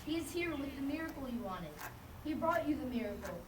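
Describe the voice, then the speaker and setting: A woman playing a pushy, excitable friar in a puppet show, exclaiming eagerly and insistently. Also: high voice, a little fast. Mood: amazed, excited. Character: pushy, excitable friar, bishop's nosy male doorkeeper. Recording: echoey, noisy, distant live recording.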